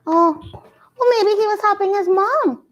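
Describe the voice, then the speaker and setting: A woman's voice: very high-pitched, moderate pace, fairly bright tone, lo-fi sound. A woman voicing Priya, an eager, caring Trinidadian schoolgirl in a puppet show, who talks earnestly and gasps at news. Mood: explaining. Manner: eager, gasping, earnest explaining.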